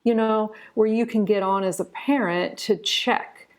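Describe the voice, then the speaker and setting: A woman's voice: mid-range voice, steady tone, measured pace, a little mellow. A woman speaking as a guest in a conversational interview, earnest and emphatic, often repeating words for stress.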